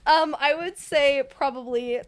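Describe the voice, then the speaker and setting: A woman's voice: sits high, measured pace. A teenage woman co-hosting a casual chat with a friend, teasing and giggling as she reads out questions. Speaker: podcast co-host.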